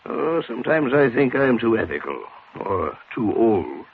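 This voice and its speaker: An actor playing an older psychoanalyst in a 1940s radio drama, lecturing and probing in a halting, excitable way. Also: male voice, medium pitch, breathy edge. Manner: didactic, halting, swings into excitement. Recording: scratchy, muffled 1940s radio recording.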